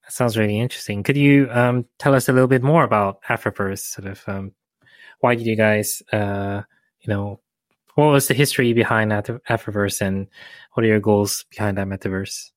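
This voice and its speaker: Low-voiced man asking interview questions. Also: steady tone, normal speed, no echo. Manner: asks questions with hesitations. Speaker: podcast co-host.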